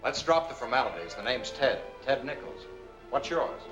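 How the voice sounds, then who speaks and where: An actor playing an earnest, lovestruck American engineer in a 1940s film noir, speaking in a conversational manner. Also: male voice, mid-range voice, very animated, normal speed, muffled recording, natural voice. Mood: gentle, courteous. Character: earnest, lovestruck male engineer, sentimental and solicitous. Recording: 1940s film soundtrack, faint music.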